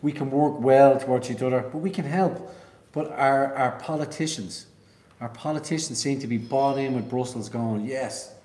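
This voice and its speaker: A man's voice: mid-range voice, moderate pace, dark tone, reverberant. A man from Ireland hosting a casual live stream, talking bluntly and emphatically and swearing. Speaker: livestream host.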